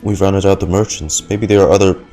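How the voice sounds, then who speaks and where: Low-voiced man, casual game streamer. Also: fairly even intonation, fairly quick, dark tone, faint music behind. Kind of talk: casual live gaming reaction.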